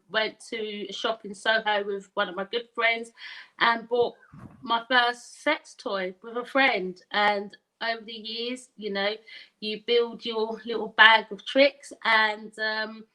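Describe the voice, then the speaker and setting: Woman guest on a video call, talks real slow, bright sharp voice, says stuff twice. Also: fairly high pitch, rough recording. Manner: slow, drawn-out, repeats herself. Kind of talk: casual chat.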